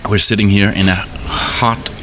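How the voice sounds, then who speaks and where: A man's voice: fairly low pitch, little pitch movement, measured pace, fairly bright tone, a little hiss or hum. A man interviewing a hotel worker in a hot hotel bar, asking curious, lightly teasing questions. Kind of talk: casual interview.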